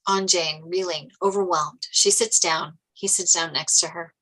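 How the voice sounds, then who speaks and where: A woman narrator reads screenplay directions aloud. Her voice is fairly low for a woman but bright and forceful in tone. The delivery is scripted narration, setting scenes and describing action. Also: fairly even intonation, a little slow, low-quality recording.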